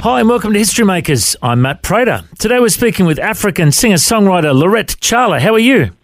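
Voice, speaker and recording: A man's voice: high-pitched, big pitch swings, fast talker, music in the background. A man hosting a podcast interview and asking encouraging questions. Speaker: podcast host. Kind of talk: casual interview.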